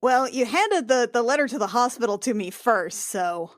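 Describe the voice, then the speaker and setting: A woman with a fairly high voice talks through a tabletop roleplaying game, recorded dry. She is chatty and casual, feeling out her sentences and adding joking asides. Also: lively intonation, moderate pace, dry recording. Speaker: player in a tabletop roleplaying podcast.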